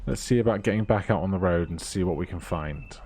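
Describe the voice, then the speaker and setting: A man's voice: sits low, a little fast, darkish tone. A man giving casual let's play commentary, muttering through items with dry humour.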